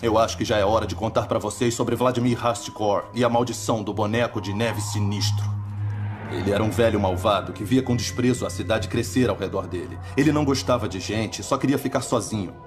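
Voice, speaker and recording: A man voicing a worried young man gravely telling a spooky legend in a dubbed cartoon. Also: lowish voice, steady tone, fairly quick, lightly acted. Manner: grave, storytelling. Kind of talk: cartoon dub acting. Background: music score.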